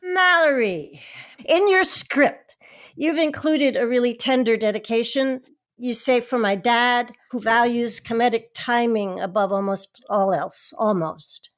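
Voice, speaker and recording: A woman's voice: fairly high pitch, unhurried. A woman hosting, introducing and interviewing in a scripted, presenting style. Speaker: host.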